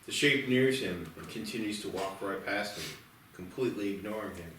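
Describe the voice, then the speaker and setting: Male screenplay narrator, low voice, recorded far off in a very echoey room. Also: normal speed, sharp tone, slight background noise. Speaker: screenplay narrator at a table read. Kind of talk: scripted narration, reading stage directions. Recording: distant echoey room, clear music behind.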